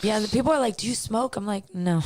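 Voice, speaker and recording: A woman singer with a raspy voice, chatting loosely as a guest on a radio show. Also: mid-range voice, fast talker, a little mellow. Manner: laughing, loose and unguarded. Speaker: musician guest. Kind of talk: casual radio chat.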